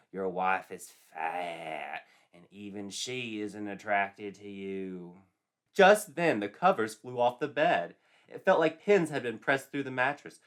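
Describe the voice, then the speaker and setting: A man narrating a scripted comic story in a theatrical, wry, tongue-in-cheek manner. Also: lowish voice.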